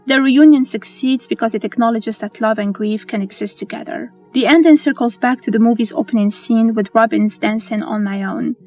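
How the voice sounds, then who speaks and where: Higher-pitched woman narrating over music. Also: fairly quick, hardly any pauses, darkish tone. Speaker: narrator of a film explainer. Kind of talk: scripted narration.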